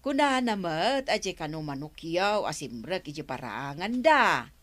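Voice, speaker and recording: A woman's voice: fairly low pitch, very animated, a little slow, a bit bright, no room sound. An actress playing a put-upon, chatty village woman in an Ilocano comedy radio drama, with an Ilocano accent and plaintive interjections.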